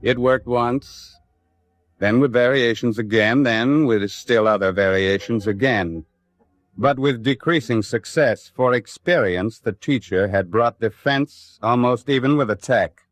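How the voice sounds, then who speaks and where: A man delivering measured, formal narration in a dramatic documentary style. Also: medium pitch.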